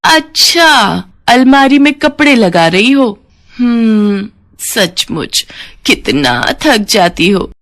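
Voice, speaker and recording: A woman's voice: on the high side, very animated, slow, a bit bright, heavily acted. A woman voicing a meddling, quarrelsome aunt who gossips and needles in an insinuating way in a family story. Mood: falsely sweet.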